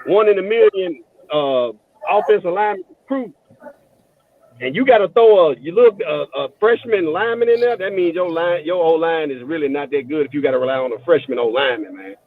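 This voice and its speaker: A man in his forties talking football casually as a panelist, animated and prone to repeating himself. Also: fairly high pitch, fairly quick, dry recording, phone-quality sound. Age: 40s. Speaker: panelist on college football fan talk show. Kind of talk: casual sports chat.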